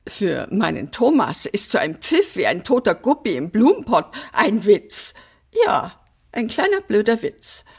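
A woman's voice: medium pitch, wildly swooping pitch, measured pace, breathy edge, exaggerated voice. An actress playing an eccentric, chatty mother in a radio play, rambling and exclamatory. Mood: proud, rambling. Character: eccentric, chatty mother fussing over pet fish. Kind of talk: radio drama acting.

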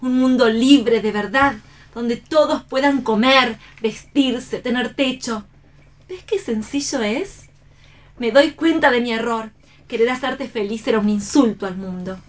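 An actress playing a tender, idealistic heroine in a radio play, earnest and dramatic. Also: female voice, on the high side, measured pace, lightly acted. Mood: hopeful. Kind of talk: theatrical radio play reading.

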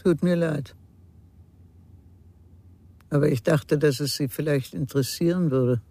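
An actor in a radio crime drama playing a wary, nosy village innkeeper, guarded and curt. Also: male voice, on the high side, a little slow, gravelly, dark tone.

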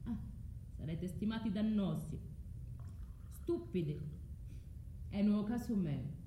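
An actress with a southern Italian accent playing a proud, vengeful, scorned foreign wife in a declamatory radio drama monologue. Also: female voice, mid-range voice, expressive, takes it slowly, mellow, reverberant, slight background noise. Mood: scornful, vengeful.

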